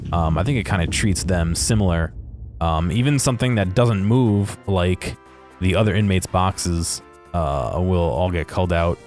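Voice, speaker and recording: A man with a low voice talks through game footage as its video creator. His commentary is casual and unscripted, with a halting, hesitant flow. Music plays behind him. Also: darkish tone.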